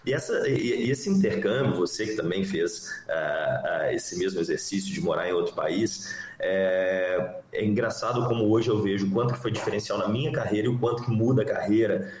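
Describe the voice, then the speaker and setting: A man's voice: lowish voice, brisk, a little mellow. A Brazilian man with a Mineiro accent, a headhunter being interviewed, who speaks earnestly in long flowing sentences. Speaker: headhunter guest. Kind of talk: interview conversation. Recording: roomy echo, faint background noise.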